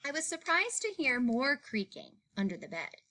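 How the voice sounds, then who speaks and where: Bright, animated woman reading a story. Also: high voice, normal speed. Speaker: story reader. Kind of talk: expressive scripted picture-book narration.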